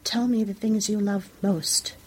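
A woman's voice: medium pitch, steady tone, measured pace, a little mellow, breathy edge. A woman voicing a dreamy, unhinged female vampire with a childlike, musing manner in a story reading. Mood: yearning.

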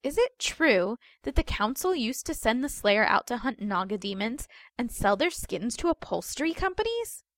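A woman voicing an earnest, gullible young female rookie in a story reading, hesitant and halting. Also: a little high, sing-song, fairly bright tone, no echo, studio quality. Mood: doubtful.

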